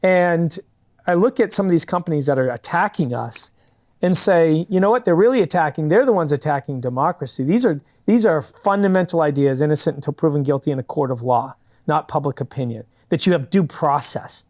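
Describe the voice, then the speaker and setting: A man's voice: a little high, animated, brisk, darkish tone. A man answering questions in a studio interview, speaking earnestly and explaining his views at length with frequent restarts. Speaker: guest, fundraising company founder. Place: public TV studio.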